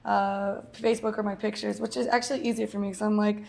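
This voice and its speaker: A young woman with a raspy, slightly hoarse voice, chatting casually as an interview guest and laughing often. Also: medium pitch, level tone, fast talker, a little mellow. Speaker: guest, actress and singer.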